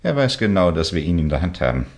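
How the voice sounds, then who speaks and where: Insistent male investigator with lively swings. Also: low-pitched, fast talker. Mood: suspicious, brisk. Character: firm, suspicious male amateur investigator, businesslike and insistent. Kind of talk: German radio crime drama acting.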